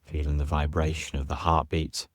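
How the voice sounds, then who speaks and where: A man guiding a yoga nidra meditation as a teacher, soothing and unhurried, leaving pauses between short phrases. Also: rumbling low, little pitch movement, no room sound, clean studio sound. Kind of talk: guided meditation instruction.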